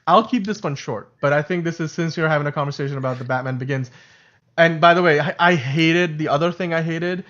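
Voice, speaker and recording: A man's voice: on the high side, a little fast, fairly bright tone, dull audio. A man whose first language is Urdu, co-hosting a casual livestream chat, talking in a chatty way, often correcting himself and laughing. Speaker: podcast co-host.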